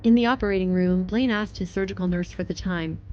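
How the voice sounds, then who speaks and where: A woman's voice: on the low side, brisk, a little mellow, lo-fi sound. A woman giving scripted, dramatic narration of a story.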